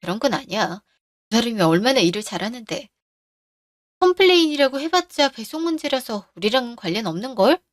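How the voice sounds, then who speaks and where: A woman's voice: fairly high pitch, very animated, fairly quick, no room sound, studio quality. A woman voicing a scheming middle-aged husband who brushes off his wife's worries, in a radio drama. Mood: boss-like.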